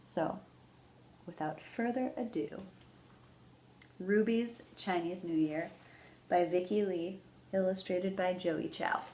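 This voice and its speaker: A woman hosting a children's storytime, explaining things simply and gently and reading a picture book aloud. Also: on the low side, takes it slowly, pauses often. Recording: roomy echo, background noise.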